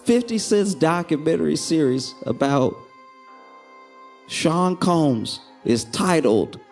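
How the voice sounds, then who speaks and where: High, lively, amused male narrator. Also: slow pace, creaky, soft background music. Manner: chatty, amused, laughing through lines. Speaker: video narrator. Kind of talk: casual commentary narration.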